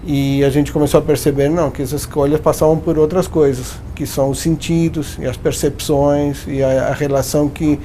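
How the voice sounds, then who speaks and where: A professor from Uruguay, a man with a Uruguayan Spanish accent, answers interview questions at length in a considered, explanatory manner. His voice is mellow and warm. A faint rumble sits under the recording. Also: flat delivery, fairly quick, hardly any pauses. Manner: thoughtful, explanatory, with frequent restarts and tag questions. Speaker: branding professor and guest.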